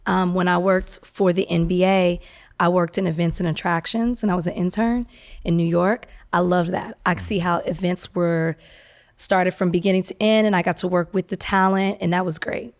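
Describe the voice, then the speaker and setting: A woman in her thirties with a soft, soothing voice, chatting easily as a podcast guest and laughing often. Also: fairly low pitch, steady tone, moderate pace. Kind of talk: casual interview chat.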